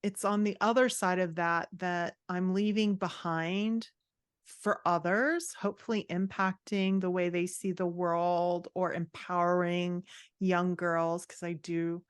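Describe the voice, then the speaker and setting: A woman chatting casually as a podcast guest, with an upbeat, expressive, conversational way of talking. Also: mid-range voice, smooth, no room sound, studio quality. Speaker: podcast guest, fantasy author. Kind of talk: casual interview chat.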